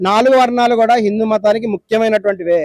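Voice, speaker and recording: A man's voice: sits high, fairly even intonation, rapid-fire, a crowd in the background. A man arguing insistently in a heated phone-in debate, repeating his points over and over for emphasis. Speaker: debate guest. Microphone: phone call.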